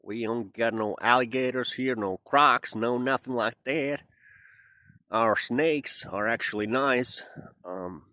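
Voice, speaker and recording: A man's voice: fairly low pitch, a bit bright, no room sound. A Finnish man who speaks English with a Southern American twang, chatting casually and rambling through a jokey monologue with plenty of fillers. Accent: Southern American-style English. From Finland. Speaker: vlogger.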